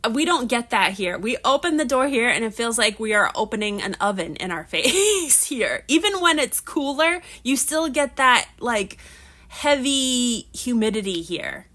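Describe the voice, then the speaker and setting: A woman's voice: fairly high pitch, big pitch swings, measured pace, pushed and bright. A woman in her thirties, chatting casually on a livestream while she preps crafts, a little nasal from allergies, rambling with frequent restarts. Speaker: livestream host.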